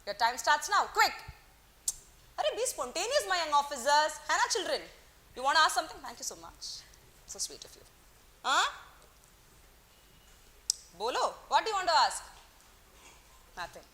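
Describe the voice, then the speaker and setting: Woman, bright tense voice, playful teacher, classroom lecture. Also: high voice. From Kerala, India. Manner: playful, teasing, coaxing students, mixes in Hindi words. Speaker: economics teacher.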